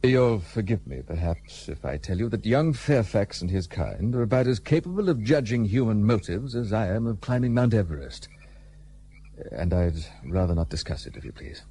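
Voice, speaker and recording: Gruff loner gentleman for a period radio play: low, mellow, curt and formal. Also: lively intonation, normal speed, a little hiss or hum. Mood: guarded, dry. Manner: curt, dry, formal, old-fashioned. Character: gruff, guarded, well-travelled English gentleman seeking isolation. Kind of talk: period radio drama acting.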